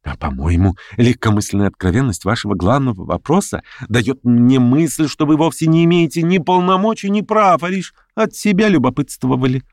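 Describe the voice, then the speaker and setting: A man's voice: medium pitch, animated, moderate pace, few pauses, no room sound, studio quality, pitched above the performer's own voice, natural voice. A man voicing a prickly, suspicious man in an audiobook, protesting and quibbling bluntly. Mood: challenging. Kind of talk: acted audiobook dialogue.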